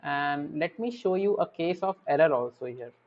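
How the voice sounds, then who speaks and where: A man's voice: a little high, expressive, moderate pace. Man, university lecturer, step-by-step explaining, "right?" tags, muffled lo-fi recording. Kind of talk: online classroom lecture. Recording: dull lo-fi audio.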